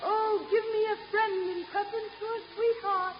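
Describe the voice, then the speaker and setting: Sweet fluttery stage heroine, super high voice, overwrought, big reverberant hall, old radio drama. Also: female voice, flat delivery, moderate pace, dull audio, slight background noise. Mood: overwrought romance. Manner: declaiming, overwrought. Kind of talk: mock stage melodrama in radio play.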